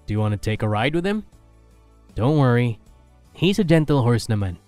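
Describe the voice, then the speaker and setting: An actor playing a gentle, reassuring young heir to a ranch in a romantic audio drama, speaking softly with a Filipino accent. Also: male voice, mid-range voice, big pitch swings, normal speed, clear, resonant tone, no echo, natural voice. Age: young adult. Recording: music bed behind voice.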